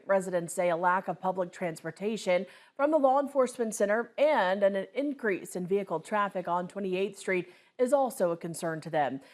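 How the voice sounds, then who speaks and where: A woman's voice: medium pitch, darkish tone. A woman anchoring television news, reading from a script.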